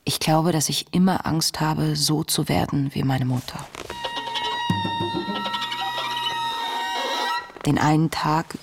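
An actress playing an introspective young woman who reads her diary in a radio play, earnest and confessional, with a breathy voice. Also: low voice. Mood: sober, confiding. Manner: reflective, measured, earnest, confessional. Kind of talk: literary radio play monologue, intimate diary narration.

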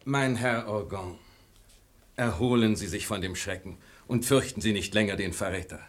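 An actor playing a stern royal officer with an official, commanding manner in a verse radio play. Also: male voice, on the low side, moderate pace, close to an everyday voice. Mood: solemn, reassuring. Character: stern, authoritative royal officer, magnanimous to the innocent.

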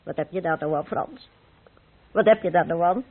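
An actress playing the worried, scolding wife of a penniless painter in a vintage radio play, husky and plaintive. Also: female voice, fairly low pitch, moderate pace, slight background noise, natural voice. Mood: reproachful. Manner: reproachful, plaintive, nagging. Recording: muffled narrow-band old radio recording.

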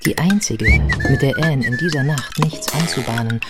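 Female radio-play narrator, wry biographer, detached, composed, factual, loud music underneath. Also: sits low, animated, normal speed.

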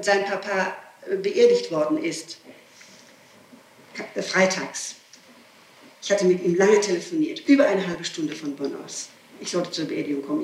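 A woman under questioning gives a spontaneous, agitated and insistent statement, often breaking off, restarting and repeating her questions. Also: lowish voice. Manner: digressive, breaks off and restarts sentences. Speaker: person being questioned by police. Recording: old archive tape, roomy, distant, hissy.

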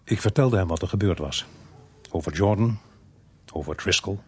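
A man's voice: low-pitched, normal speed, a little mellow, breathy edge. A man playing the sober narrator of a detective radio drama, speaking in terse, matter-of-fact scripted sentences. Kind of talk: scripted first-person narration.

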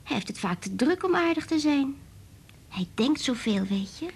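Husky-edged, high, lively young girl. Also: normal speed, heavily acted. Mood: earnest, confiding. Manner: childlike, earnest phrasing. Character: bright, chatty young girl, eager and trusting. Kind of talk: radio drama acting.

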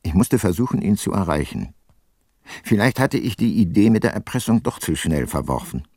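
Laconic detective narrator for radio crime drama: low-pitched, dry studio sound, sceptical, understated. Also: male voice, sing-song, moderate pace, darkish tone, no room sound, exaggerated voice. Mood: sober, reflective. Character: dry, sceptical private detective, male, narrating his case.

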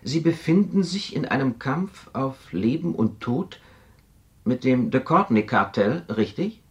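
Probing, insistent telepath adviser. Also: male voice, mid-range voice, dull audio. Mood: probing, cool. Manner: deliberate, probing. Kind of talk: 1970s radio drama acting.

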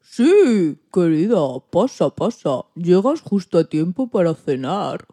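Woman playing a grumpy old wolf, mellow voiced. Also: on the low side, sing-song, slow, exaggerated voice. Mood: eager, inviting. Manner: theatrical, exclamatory. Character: grumpy, hungry old male wolf with a soft heart. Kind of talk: children's story radio play.

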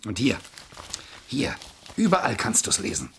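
Ein Schauspieler spielt in einem Krimihörspiel der 70er einen strengen, grüblerischen Anwalt, der präzise und streitbar argumentiert. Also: male voice, mid-range voice, sing-song, measured pace, fairly bright tone, slight background noise. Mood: impatient, lecturing. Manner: earnest, precise, argumentative. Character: stern, insistent male lawyer, brooding over a case. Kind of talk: 1970s German radio crime drama acting.

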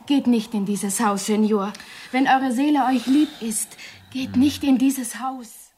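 An actress playing a superstitious, fearful village woman in a 1970s radio play, telling things in an ominous, hushed, dramatic way. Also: mid-range voice, measured pace, slight background noise, natural voice. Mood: fearful warning.

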